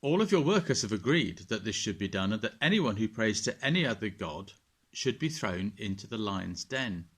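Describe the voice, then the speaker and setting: A man voicing scheming royal servants who flatter and tell tales in a children's Bible storybook reading. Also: fairly low pitch, moderate pace, slightly rough, exaggerated voice. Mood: persuasive. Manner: fawning, tale-telling.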